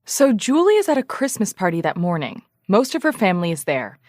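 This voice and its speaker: A woman's voice: mid-range voice, sing-song, brisk, a little mellow, no room sound, studio quality. A woman playing a self-important true-crime podcast host, earnest and easily irked, with a polished, dramatic delivery. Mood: earnest, probing. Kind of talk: scripted true-crime podcast narration and interviewing.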